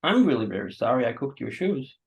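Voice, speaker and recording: A man acting a gentle, awkward, solicitous host in a staged play reading, hesitant and coaxing. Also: medium pitch, sing-song, brisk. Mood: awkward, apologetic. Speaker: actor. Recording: dull, muffled sound.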